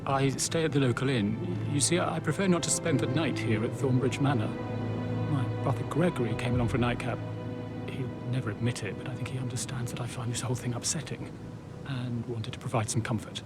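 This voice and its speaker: A British actor playing a reserved, stuffy upper-class professor in a game, speaking formally and primly. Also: male voice, medium pitch, steady tone, a little fast, close to an everyday voice. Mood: uneasy, reserved. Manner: formal, prim. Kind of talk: scripted game dialogue. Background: loud music.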